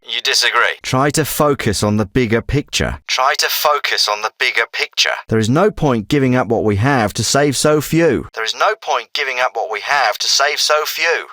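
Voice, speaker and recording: An actor playing a hard-nosed, authoritative leader of a covert military team in a video game, speaking tersely. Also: male voice, medium pitch, sharp tone, no echo, close to an everyday voice. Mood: grave, pragmatic. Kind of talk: scripted video game dialogue. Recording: some clips processed as radio.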